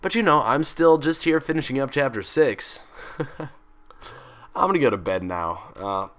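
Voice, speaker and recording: A man's voice: medium pitch, animated, a little slow, a bit bright. A man talking casually as a host, in a rambling, self-deprecating way. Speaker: fan fiction reader and host. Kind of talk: casual sign-off chat.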